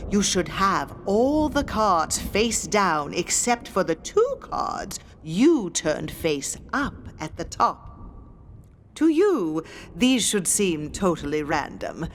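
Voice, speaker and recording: An actress playing a haughty, scornful ghost medium who guards a haunted mansion, speaking in a theatrical, condescending monologue. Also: female voice, fairly low pitch, slight background noise. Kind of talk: theatrical scripted monologue addressed to the listener.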